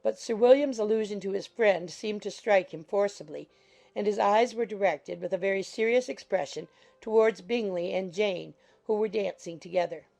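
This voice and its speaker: Creaky-voiced woman narrating a classic novel. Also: medium pitch, moderate pace, a little mellow. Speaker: audiobook narrator. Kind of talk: scripted literary narration.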